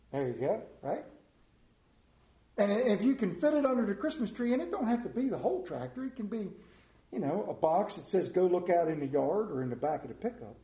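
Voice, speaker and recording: A man's voice: a little high, darkish tone. Folksy Appalachian preacher man. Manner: folksy and storytelling, with many rhetorical questions. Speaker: television pastor. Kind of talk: sermon. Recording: roomy echo.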